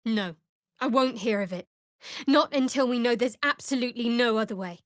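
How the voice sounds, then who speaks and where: An actress voicing a guarded, wry female cleric of a dark goddess in a fantasy video game, with a cool, measured, sardonic delivery. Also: on the high side, dry recording, studio quality, lightly acted. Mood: firm.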